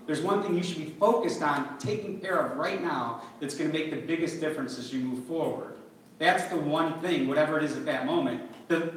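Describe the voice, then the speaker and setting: A man coaching a room of agents in a live seminar, his talk upbeat and conversational. His voice sits a little high. It is picked up from a distance in a very echoey hall. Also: a little hiss or hum. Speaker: real estate coach on stage. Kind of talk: live seminar Q&A and role-play. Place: large conference hall. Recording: roomy hall, mic heard from a distance.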